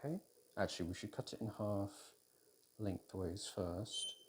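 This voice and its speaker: Low-voiced British man, casual cooking ASMR. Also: wildly swooping pitch, a little hiss or hum. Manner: hesitant, unhurried, thinking aloud. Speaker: audio roleplay performer playing a boyfriend cooking. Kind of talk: intimate ASMR roleplay, casual chat while cooking. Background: kitchen food preparation sounds.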